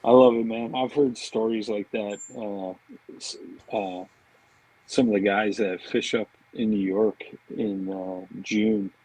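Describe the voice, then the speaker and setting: A man's voice: fairly low pitch, fairly even intonation, slow, a little mellow, breathy edge, no echo, rough recording. A man chatting casually as a podcast guest, talking in an informal, laid-back buddy manner. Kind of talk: casual chat.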